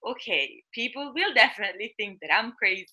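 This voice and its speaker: A woman's voice: on the high side, expressive, brisk, sharp tone, breathy edge. A woman in her thirties, not a native English speaker, telling her life story candidly in a casual interview, often laughing and searching for words. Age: 30s. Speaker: guest.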